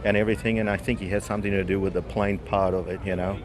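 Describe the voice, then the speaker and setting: A man's voice: low-pitched, level tone, racing, crowd noise. A man from Slovakia with a Slovak accent, a car owner describing his build in a plain, matter-of-fact way at an outdoor show.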